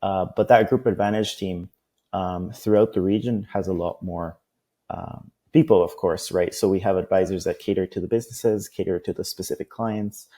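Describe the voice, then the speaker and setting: A man's voice: sits low, fairly even intonation, moderate pace, warm tone. A man from Costa Rica, a guest on a business interview, talking at length in a loose, rambling way. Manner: talkative, many fillers and restarts.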